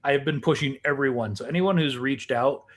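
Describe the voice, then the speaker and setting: Man, medium pitch, podcast host, conversational, deliberate, questioning, steering. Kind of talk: casual conversational hosting.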